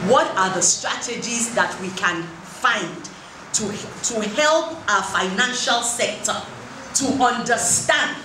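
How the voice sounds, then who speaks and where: A woman's voice: a little high, animated, slow pace. A Nigerian woman in the audience asking an earnest, insistent question at a public forum. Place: large hall at a public forum. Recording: echoey hall with background noise.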